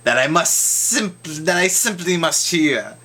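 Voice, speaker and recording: Guy doing a sly mean storybook monster, slow scheming drawl, bright forceful edge. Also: a little high, expressive, voice pushed up, full character voice. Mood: gloating. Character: sly, gleeful, mean male holiday-hating monster.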